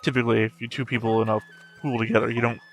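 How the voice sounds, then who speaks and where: A man with a medium-pitched voice comments casually as a reaction host. He muses and speculates off the cuff, with music faintly behind him. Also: flat delivery, racing.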